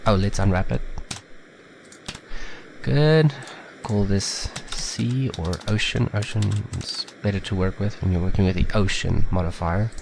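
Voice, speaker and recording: A man with a slightly nasal, stuffed-up voice from a head cold, giving a relaxed, chatty step-by-step software tutorial. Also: sits low, unhurried, gravelly, background noise. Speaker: tutorial maker. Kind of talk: casual screen-recorded software tutorial.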